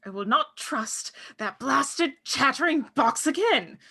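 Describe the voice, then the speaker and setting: A woman's voice: high voice, lively intonation, slow, sharp tone, heavily acted. A woman playing a bossy, irritable witch who speaks in imperious, archaic phrases at a script table read. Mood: scornful, commanding. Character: bossy, irritable witch, eldest of three sisters.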